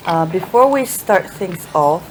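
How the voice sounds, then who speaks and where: A woman's voice: on the low side, expressive, measured pace. A woman narrating an introduction and then interviewing guests with polite questions. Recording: noisy background.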